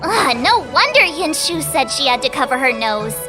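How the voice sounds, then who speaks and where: An actress playing a perky, curious young girl in a video game, with an inquisitive, expressive manner. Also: high voice, moderate pace. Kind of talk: animated video game voice acting. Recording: music under the voice.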